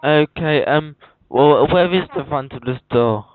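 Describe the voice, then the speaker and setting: Plaintive slow-talking male prank caller on tinny line. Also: on the high side. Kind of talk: prank phone call, acting lost and helpless. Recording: tinny phone line with music.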